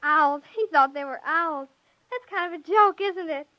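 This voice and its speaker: A woman's voice: sits high, normal speed, no echo, highs cut off, natural voice. A woman playing a young child questioning a father in a 1950s radio drama, on a thin vintage recording. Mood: puzzled, insistent.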